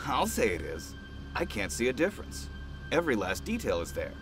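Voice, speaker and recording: Man acting very animated skeptical doctor. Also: medium pitch, a little fast, music in the background. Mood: amazed. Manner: incredulous, animated. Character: skeptical, excitable male doctor, old colleague. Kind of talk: audio drama acting.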